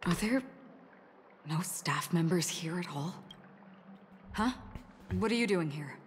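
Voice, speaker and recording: An actress playing a composed, guarded young woman in a video game, speaking in a measured, earnest and formal way. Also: lowish voice, lively intonation, slight background noise. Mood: puzzled, polite.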